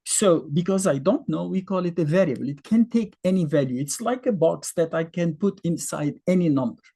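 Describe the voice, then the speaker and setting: A man in his fifties with an Egyptian accent, native Arabic, explains math in a relaxed, chatty way with lots of rhetorical questions. His voice is husky and mellow, and his pitch rises and falls a lot as he talks. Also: brisk. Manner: conversational, thinks aloud with fillers and rhetorical questions. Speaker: math teacher. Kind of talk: casual explanatory lesson.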